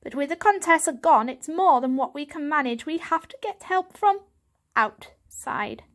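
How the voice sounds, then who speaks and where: A woman's voice: high-pitched, animated, moderate pace, fairly bright tone, pitched above the performer's own voice. A woman voicing an elderly male cat, a wise, secretive grandfather with an earnest storytelling manner, for a children's audiobook. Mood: grave, urgent.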